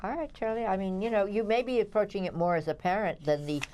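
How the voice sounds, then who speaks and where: A woman's voice: medium pitch, quick pace, darkish tone, slight background noise. A woman, talking casually as a critic and co-host, opinionated and matter-of-fact. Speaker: theatre critic, show co-host. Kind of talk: casual review discussion.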